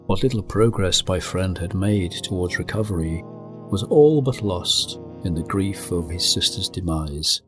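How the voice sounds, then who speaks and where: A man acting a solemn, melancholy gentleman narrator in a literary gothic radio drama. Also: sits low, a little slow, soft background music. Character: melancholy gentleman narrator recalling his friend's descent into madness.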